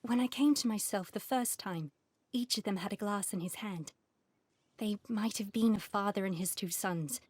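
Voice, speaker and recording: A woman's voice: medium pitch, normal speed, darkish tone, dry recording, close to an everyday voice. An actress playing a polite, genteel Victorian lady in a video game, speaking in a refined manner. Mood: recollecting.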